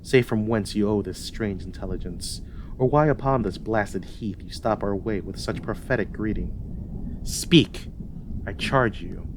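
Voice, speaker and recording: Guy playing a commanding moody warlord, deep-ish, shakespeare style speechifying, noisy background. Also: a little slow, natural voice. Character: ambitious Scottish warlord, brooding and commanding. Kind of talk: dramatic Shakespeare reading. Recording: noisy storm ambience behind.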